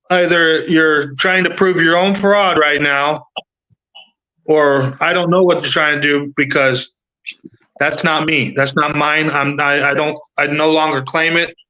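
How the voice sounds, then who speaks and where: A man's voice: on the high side, sharp tone, low-quality recording. A man in his thirties hosting a livestream panel, rambling in casual chat and swearing freely.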